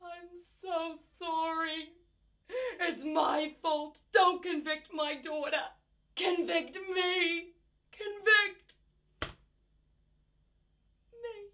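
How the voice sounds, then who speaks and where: A woman's voice: very animated, slow pace, long pauses, bright, forceful tone, distant mic, heavily acted. A woman acting a frantic mother on a witness stand who gasps, denies everything and finally breaks down sobbing. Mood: sobbing confession. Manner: shrill gasping outbursts, sobbing confession. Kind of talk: audition scene reading.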